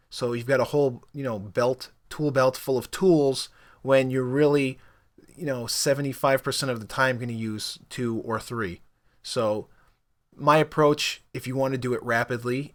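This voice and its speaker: Chatty young man musing on languages. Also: medium pitch. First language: Spanish. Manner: conversational, rambling with frequent fillers. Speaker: language learning vlogger. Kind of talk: casual opinion monologue.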